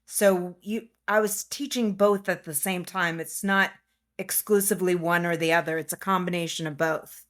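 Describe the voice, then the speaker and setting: A woman's voice: fairly low pitch, fairly even intonation, moderate pace, fairly bright tone. A woman who is a private tutor, answering interview questions in a plain, explanatory way. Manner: plain, explanatory, matter-of-fact.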